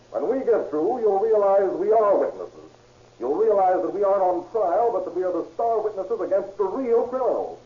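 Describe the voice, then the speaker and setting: A man's voice: high-pitched, dark tone, husky. An actor playing a brazen, scheming career robber with slangy tough-guy talk in an old radio crime drama. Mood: smug, declaiming. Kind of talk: 1940s radio acting. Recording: muffled vintage radio recording.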